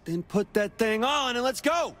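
An actor playing a brash, swaggering gang boss in a video game, speaking bluntly and casually. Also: male voice, extremely high pitch, expressive, moderate pace, fairly bright tone. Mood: casual confidence. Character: brash, cocky, foul-mouthed young gang boss.

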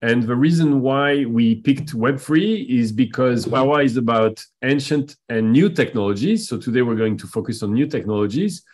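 A middle-aged man speaks over a video call, easing into his introduction with frequent pauses and fillers. His tone stays casual and friendly, like a host of a regular community call. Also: mid-range voice, darkish tone. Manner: hesitant, many fillers and restarts.

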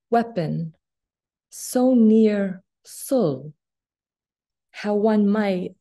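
A woman in her thirties, born in Afghanistan with Farsi as her first language, reads poetry in a measured, deliberate way over a video call from a small office. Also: lowish voice, mellow. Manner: measured, deliberate recitation with long pauses. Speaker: poet. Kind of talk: slow poetry reading. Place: small office room indoors. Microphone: laptop webcam video call.

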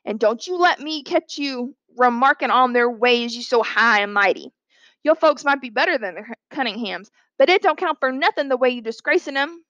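Woman playing a bossy, high-voiced scolding household cook. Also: animated, moderate pace, bright, forceful tone, no echo, dull audio. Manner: folksy, chiding. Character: stern, scolding female household cook, sharp-tongued and bossy.

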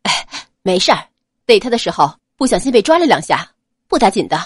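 A woman voicing a cheerful, excited teenage younger brother in an audiobook, boyish and breezy. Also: medium pitch, a little fast, husky, no echo, clean studio sound. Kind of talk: dramatized audiobook character acting.

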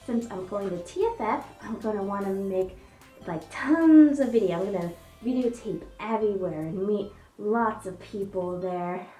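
A woman's voice: mid-range voice, normal speed, mellow. A young woman vlogging to camera in a casual, bubbly way, with many fillers and restarts, her voice muffled by a fursuit head. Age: young adult. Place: small room indoors. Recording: echoey room with faint music.

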